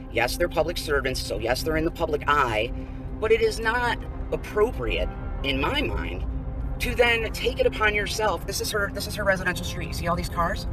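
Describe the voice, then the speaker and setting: A woman filming a street protest on her phone and narrating it indignantly, lecturing the crowd outdoors. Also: on the low side. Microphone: handheld phone.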